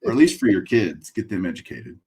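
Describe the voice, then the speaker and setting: A middle-aged man from Texas with a Texas accent, a guest on a video call from a room of framed memorabilia, relaxed and dry-humored as he thinks out loud. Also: sits low, brisk, slightly rough. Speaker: guest collector. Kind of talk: casual interview over video call. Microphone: webcam video call.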